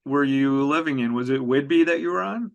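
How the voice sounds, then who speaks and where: A man's voice: mid-range voice, a little fast, vocal fry, dull audio. A man hosting an interview series from his home office, chatting easily with many fillers and restarts. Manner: friendly, with frequent fillers and restarts. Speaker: podcast host. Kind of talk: conversational interview.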